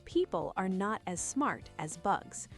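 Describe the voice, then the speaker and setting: A woman's voice: mid-range voice, big pitch swings, slow, faint music behind. A woman reading as a patient, clever female spider, thoughtful and sure of herself, in a storybook. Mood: thoughtful, assured. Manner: thoughtful, assured.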